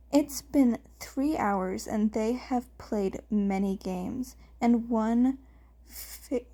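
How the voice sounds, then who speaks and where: A woman reading fan fiction aloud as story narration, sometimes halting over her words. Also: on the high side, mellow. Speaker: fan fiction podfic reader.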